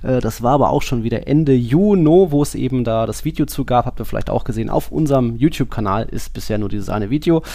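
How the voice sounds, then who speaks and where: A man's voice: mid-range voice, fast talker, creaky, few pauses, mellow, no room sound. A man co-hosting a football podcast, chatting casually in a loose, colloquial way. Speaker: podcast co-host.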